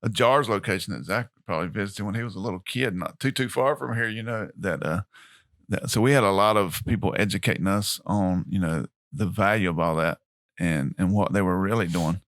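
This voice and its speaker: A man's voice: low voice, fairly quick, a bit bright, dry recording, studio quality. A pastor hosting a podcast interview, chatting casually and laughing often with his guests.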